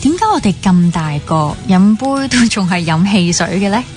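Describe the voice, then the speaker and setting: A woman's voice: mid-range voice, animated, moderate pace, a little mellow, natural voice. An actress with a Hong Kong Cantonese accent playing a carefree, chatty young woman bantering with close friends in a radio drama. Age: young adult. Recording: music playing behind the dialogue.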